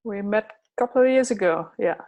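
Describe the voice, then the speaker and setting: A middle-aged woman from the Netherlands co-hosts a relaxed podcast conversation. She speaks hesitantly, pausing often as she gathers her thoughts. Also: mid-range voice, dull audio. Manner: hesitant, many fillers and pauses. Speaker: podcast co-host, coach. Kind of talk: casual chat.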